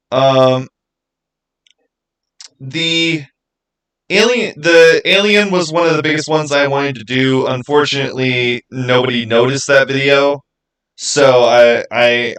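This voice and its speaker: A man hosts a casual livestream in a mid-pitched voice. He talks informally and thinks aloud, rambling through his answers to viewers like a game master chatting with his players. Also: a bit bright, poor audio.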